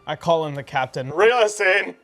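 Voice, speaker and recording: Game player, middle register, casual table talk over quiet music. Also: medium pitch, animated, normal speed.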